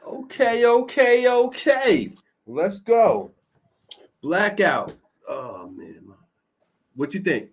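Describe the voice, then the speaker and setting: A man's voice: high-pitched, big pitch swings, very slow, husky. A man hosting a casual talk show, encouraging and slangy, often checking in with his guest. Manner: encouraging, slangy, keeps saying "you know what I'm saying". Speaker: show host.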